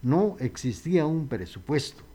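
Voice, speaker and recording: A man's voice: on the high side, big pitch swings, unhurried, mellow, breathy edge. A male radio host, talking casually and unhurriedly with frequent hesitations.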